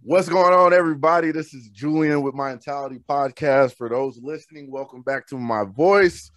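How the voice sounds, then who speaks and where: A man's voice: a little high, normal speed, a bit bright, dry recording. A man from Oklahoma hosting a podcast interview, talking in a laid-back, slangy, upbeat way into a studio microphone. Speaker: podcast host. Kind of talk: casual interview chat. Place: home studio room with shelves. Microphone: studio microphone on a boom arm.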